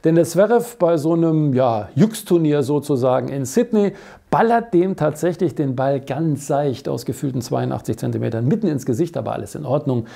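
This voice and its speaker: A man's voice: a little high, lively intonation, normal speed, vocal fry, mellow. A middle-aged man hosting a sports show, chatty, colloquial and jokey with his viewers. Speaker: host of a weekly tennis show. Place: small cluttered basement studio.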